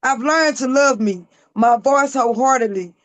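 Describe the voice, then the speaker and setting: A woman with a raspy, hoarse voice, a guest author reading her own poems aloud in a slow, emphatic spoken-word style. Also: high-pitched, no room sound.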